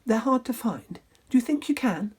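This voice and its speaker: A woman's voice: expressive, normal speed, darkish tone, slightly rough. A woman voicing Cinderella as a demanding young woman who whines and bosses. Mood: wary. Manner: whiny, shrill, bossy.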